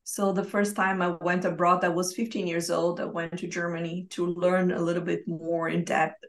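Lower-pitched woman with a Brazilian accent. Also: level tone, moderate pace. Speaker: podcast guest, expat family coach. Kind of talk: conversational personal storytelling. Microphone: remote call.

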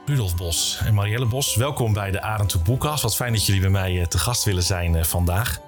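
A man hosts a podcast in a fairly low voice. He speaks in a friendly, informal, conversational way, with faint music in the recording. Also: brisk. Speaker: podcast host.

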